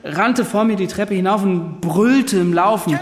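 An actor playing an earnest young ship's officer giving precise, measured testimony in a radio play. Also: male voice, sits high. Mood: tense recounting. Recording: echoing hall with long reverb.

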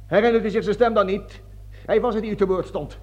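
Blustering, cowardly colonel, crisply spoken. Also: male voice, high voice, fairly even intonation, quick pace. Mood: indignant. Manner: blustering, stammering, pleading, repeating himself. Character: panicking, cowardly, self-justifying male army colonel. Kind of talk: theatrical radio drama acting. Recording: dull lo-fi old radio recording.

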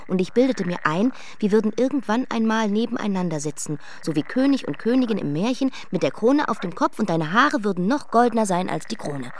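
A woman acting an imperious young queen in a radio drama, with a mid-pitched voice. She is proud and evasive and declaims her words with emphasis. Some noise sits behind the voice. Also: natural voice. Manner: declamatory, emphatic, poetic phrasing. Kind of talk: German radio play acting.

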